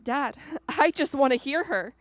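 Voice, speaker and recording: A high, close and dry voice of a young daughter in a radio play, acted by a woman. She speaks slowly and with raw feeling, hurt and determined as she confronts and pleads with her father. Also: lively intonation, dry recording. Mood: pleading, determined. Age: young adult. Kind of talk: radio drama acting.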